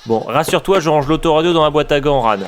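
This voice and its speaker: A man's voice: fairly high pitch, fast talker, a little hiss or hum, lightly acted. Man playing a stubborn, upbeat road-trip leader. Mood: casual. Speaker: fiction podcast character. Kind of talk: comedic audio fiction dialogue.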